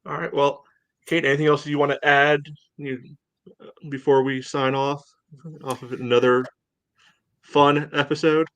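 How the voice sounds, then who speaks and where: A middle-aged man hosting a casual sports podcast, meandering and halting, often padding his sentences with filler phrases. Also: on the high side, level tone, fairly bright tone, clean tone, dry recording. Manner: halting, meandering delivery with frequent "you know". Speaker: podcast host.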